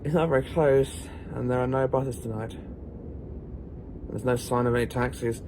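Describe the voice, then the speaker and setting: A man's voice: mid-range voice, fairly even intonation, moderate pace, background noise, lightly acted. A man playing an eccentric, curious time-travelling professor in an audio drama, wry and musing. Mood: wry, practical. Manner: wry, musing, British idiom.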